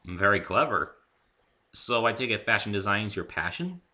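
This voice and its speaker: Male reader as polite, mildly awkward everyman hero; casual, wary, bright voice, lively inflection. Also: on the low side, normal speed. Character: polite, slightly awkward human man, story's hero.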